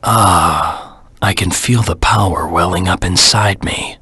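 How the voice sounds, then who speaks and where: A man voicing a brooding, defiant antihero creature in a video game, grave and menacing, with a gravelly rasp. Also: sits low, slow pace, sharp tone, dry recording, full character voice. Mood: brooding, intent. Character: brooding, defiant adult male antihero creature, cold and determined. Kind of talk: dramatic game voice acting.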